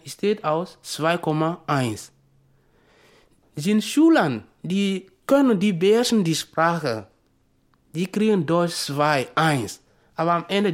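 A school student, not a native speaker, giving a personal account in halting but emphatic phrases. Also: medium pitch. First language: not German.

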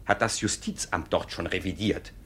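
An actor playing a sly, officious court clerk who tells things with deliberate theatrical care in a classic radio play. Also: male voice, sits low, a bit bright, slightly rough, exaggerated voice. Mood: grave, pointed. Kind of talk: classic German stage comedy as radio play.

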